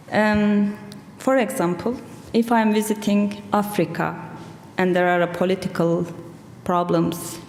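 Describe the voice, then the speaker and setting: Slow, thoughtful Kurdish-born woman poet. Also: mid-range voice, darkish tone. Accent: Turkish. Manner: slow, thoughtful, searching for words. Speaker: poet on a festival panel. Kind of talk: panel discussion answer. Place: large hall with audience. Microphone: table microphone. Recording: roomy hall reverb, faint room noise.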